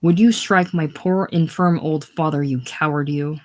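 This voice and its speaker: A reader voicing a bold, quick-tempered young farm woman, loud and fierce. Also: medium pitch, expressive, lightly acted.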